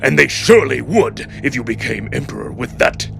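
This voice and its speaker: A cold, ruthless wolf ruler, acted out by a man in a dramatic story, speaks in a creaky, forceful growl. He gives imperious orders as an older official used to obedience, swinging widely in pitch over background music. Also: big pitch swings, normal speed, full character voice. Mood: cold, threatening. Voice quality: gravelly, growling. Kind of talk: dramatic audiobook-style character acting.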